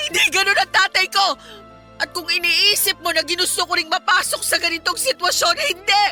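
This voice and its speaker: Hurt defiant young wife, really high bright voice, emotional radio drama, music behind. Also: female voice, fairly even intonation, brisk, full character voice. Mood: angry, defiant. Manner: emotional, pleading, indignant. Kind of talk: Filipino radio drama acting.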